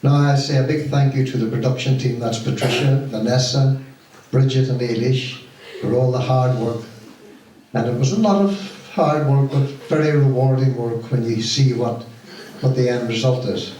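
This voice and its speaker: A middle-aged or older man with a Donegal Irish accent gives an informal, deliberate thank-you speech to an audience as a pantomime director. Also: mid-range voice, dark tone. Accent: Irish, County Donegal. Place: stage of a community hall. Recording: very echoey hall, distant mic, faint noise.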